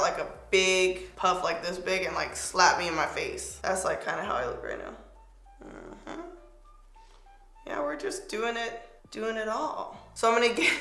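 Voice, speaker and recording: A young woman chatting casually through a makeup tutorial, her voice a little hoarse and squeaky, playful and talkative as she works. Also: fairly bright tone, reverberant. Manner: chatty, playful, talks while applying makeup. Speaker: beauty vlogger. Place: home studio, pink backdrop.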